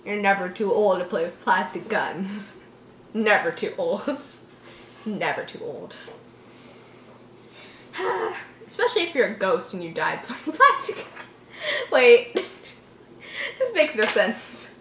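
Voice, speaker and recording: A woman's voice: mid-range voice, big pitch swings, takes it slowly, long pauses, a bit bright, roomy sound, slight background noise. A woman reading a horror story aloud casually, giggly and reactive, breaking off to joke and comment.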